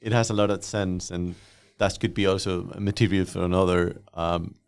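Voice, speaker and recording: Deep gravelly-voiced male podcast host. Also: steady tone, moderate pace. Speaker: podcast host interviewing guests. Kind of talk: casual conversational interviewing.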